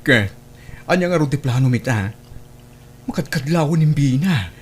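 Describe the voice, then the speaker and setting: A man's voice: medium pitch, expressive, normal speed, husky. A man playing a concerned confidant in an Ilocano family drama, earnestly admonishing a straying friend.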